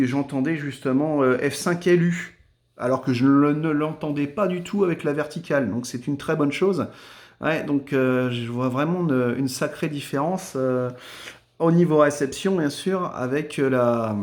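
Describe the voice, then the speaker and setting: Casual Frenchman chatting on ham radio. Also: mid-range voice. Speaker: amateur radio operator and video maker.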